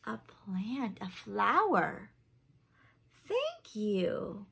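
Woman doing kids story time, really lively pitch, bit husky, takes it slow. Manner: animated, simple questioning asides to children. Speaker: children's story time reader. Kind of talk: expressive picture-book read-aloud with asides to young listeners. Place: small home room, indoors.

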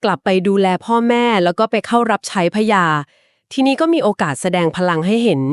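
A woman in mid-range pitch tells an old legend in an easy conversational way, explaining each turn at length. She softens her lines with polite particles and small filler pauses. The sound is dry and close, with no room around it. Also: expressive, no echo. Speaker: podcast co-host. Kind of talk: conversational storytelling of a folk legend.

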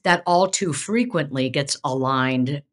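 A woman's voice: low-pitched, measured pace. A middle-aged woman, a seminary professor hosting a casual theological discussion, who talks emphatically and restarts her phrases often, on a video call from her home office. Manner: emphatic, animated, many restarts.

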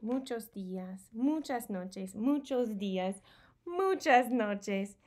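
A woman's voice: fairly high pitch, lively intonation, very slow, darkish tone. A woman in her thirties presenting a bilingual picture-book storytime for young children, expressively explaining and repeating key words. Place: small home room with bookshelves, indoors.

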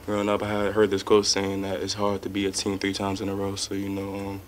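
A man's voice: sits low, completely flat delivery, a little fast, a little hiss or hum. A young man, a college basketball player, answering reporters at a postgame press conference, laid-back and full of "um" and "like" fillers. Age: young adult. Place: press room in front of sponsor backdrop. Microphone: table mic in front of him.

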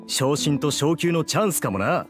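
A man's voice: a little high, lively intonation, normal speed, over music, natural voice. An actor playing a chatty, gossipy but loyal policeman in a Japanese video game, casual and conversational.

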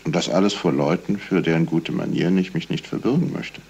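An actor playing a middle-aged investigator in a 1970s radio crime play, courteous, measured and insistently probing. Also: male voice, sits low, vocal fry, darkish tone, breathy edge, lo-fi sound, exaggerated voice. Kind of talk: 1970s German radio crime drama acting.

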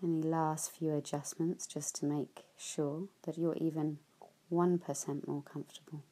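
A woman leading a guided yoga nidra relaxation, speaking softly and often trailing into whispers at phrase ends. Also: sits low, little pitch movement, rough recording. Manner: soft, slow, with whispered phrase endings.

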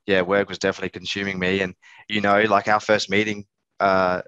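A man in his thirties, a business owner giving casual, halting interview answers, thinking aloud. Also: sits low, flat delivery, a bit bright, smooth, no echo. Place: small office on a video call. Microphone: headset. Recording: slightly dull video-call audio.

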